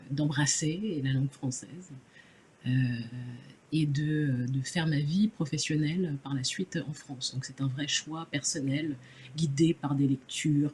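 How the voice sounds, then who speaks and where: A woman's voice: low voice, a little mellow. A woman from Nigeria, English-speaking from birth, speaking as a journalist on a conference panel, hesitant with many fillers and restarts. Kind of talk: panel discussion.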